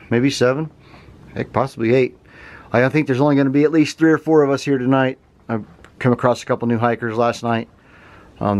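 A man, chatting casually to the camera on a mountain trail. He talks in an easygoing, folksy way and sounds tired. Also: mid-range voice. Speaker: thru-hiker vlogger. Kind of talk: casual trail vlog narration. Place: outdoors on a wooded mountain trail. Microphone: handheld camera at arm's length.

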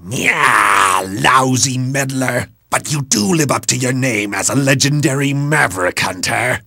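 A man playing a villainous animal-like robot rebel commander in a video game, with a theatrical, sneering, drawn-out delivery. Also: medium pitch, expressive, gravelly, pushed and bright, no room sound, exaggerated voice. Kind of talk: video game cutscene acting.

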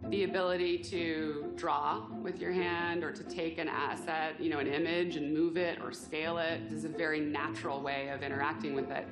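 A woman's voice: low voice, fairly even intonation, normal speed, few pauses. A woman speaking conversationally as a developer in a promotional interview testimonial. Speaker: technology developer. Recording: roomy sound with music bed. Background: music.

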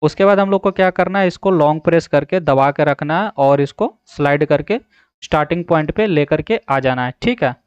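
A man's voice: fairly high pitch, brisk, clear, resonant tone, no echo. A man narrating a step-by-step app tutorial, instructing and often checking in with the listener. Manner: repetitive verbal check-ins, instructional. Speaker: tutorial host. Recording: slightly dull, dry voice-over.

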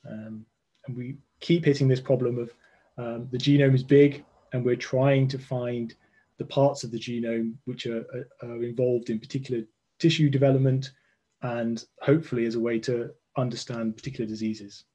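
A man in his forties, a scientist explaining his research over a video call from a room at home, thoughtful and full of pauses and fillers. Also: medium pitch, flat delivery, dark tone, husky. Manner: thoughtful, hesitant, many fillers and restarts. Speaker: genomics lecturer, guest scientist. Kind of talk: explaining research in a live online interview. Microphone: video call webcam.

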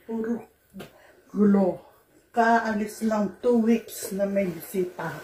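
An older woman with a Filipino accent, a grandmother, giving short soft-spoken answers at home, picked up by a phone camera. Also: medium pitch, slow. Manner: brief, soft-spoken replies. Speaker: homeowner and grandmother. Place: indoors at her home.

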